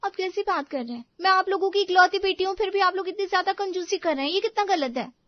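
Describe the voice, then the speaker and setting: A woman's voice: extremely high pitch, fast talker, no echo, highs cut off. A woman playing an entitled, demanding married daughter in a moral story, with a whiny, insistent delivery. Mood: demanding, indignant. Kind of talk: animated moral story voice acting.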